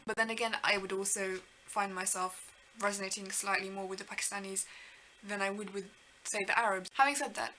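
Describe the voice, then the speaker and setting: A woman's voice: medium pitch, sharp tone, a little hiss or hum, poor audio. A young woman from Kenya, talking reflectively to camera in a rambling, earnest, self-deprecating way. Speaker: vlogger. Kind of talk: reflective casual talk to camera.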